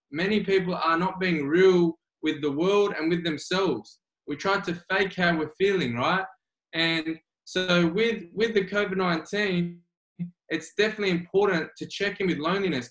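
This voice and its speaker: Australian man, high voice, earnest guest coach, echoey room. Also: a bit bright. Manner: earnest, emphatic, repeats key phrases. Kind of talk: remote interview. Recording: roomy echo.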